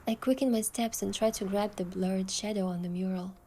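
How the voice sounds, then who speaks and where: A young woman gently narrating a romantic story in the first person as its heroine, in a soft, hushed voice. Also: mid-range voice, fairly even intonation, fairly quick, a little hiss or hum.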